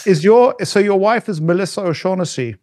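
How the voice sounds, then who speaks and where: A middle-aged man talking as a podcast guest in casual conversation, halting with frequent restarts and fillers. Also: high-pitched, animated, darkish tone, no echo, studio quality. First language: not German.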